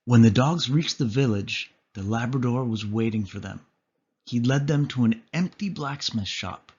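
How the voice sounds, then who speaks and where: A man reading a children's story aloud as an expressive narrator. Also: mid-range voice, moderate pace, breathy edge, highs cut off. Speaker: storybook reader.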